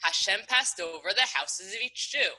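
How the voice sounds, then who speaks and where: Woman, game host, video call, upbeat, very bright tone. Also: mid-range voice, animated, moderate pace. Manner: upbeat, friendly instructions. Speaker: game host for an online Hebrew school. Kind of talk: hosting a riddle game.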